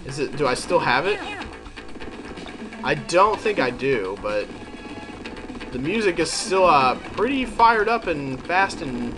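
A man's voice: fairly high pitch, poor audio. A man with a head cold, sounding congested, chatting and thinking aloud as he commentates a video game. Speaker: let's play commentator. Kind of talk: casual gameplay commentary. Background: game music.

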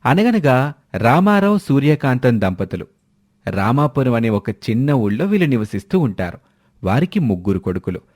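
A man's voice: medium pitch, big pitch swings, normal speed, darkish tone. A man narrating a scripted moral story as a storyteller.

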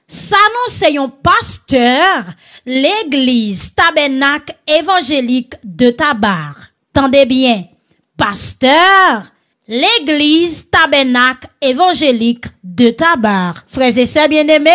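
A woman with a Haitian accent presents conversational news commentary online. Her voice sits high and swings widely in pitch, while the pace stays slow. She talks to listeners familiarly, in an animated, bantering manner.

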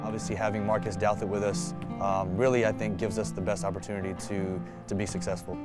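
A man's voice: fairly low pitch, little pitch movement, quick pace, loud music behind the voice. A man, giving a reflective sit-down interview as a basketball player and often repeating words as he restarts. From United States. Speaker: national team player. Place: indoor arena seats.

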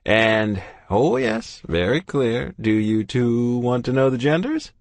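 A man's voice: medium pitch, lively intonation, dry recording, voice pushed up. A man doing a kindly old doctor. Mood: amused. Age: older. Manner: gentle, unhurried, pleased. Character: kindly cheerful older male doctor.

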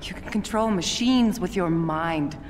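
An actress voicing a cold, manipulative scientist villain in a video game, measured and insistent. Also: female voice, lowish voice, background noise, close to an everyday voice. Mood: defensive, urgent. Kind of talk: video game cutscene acting.

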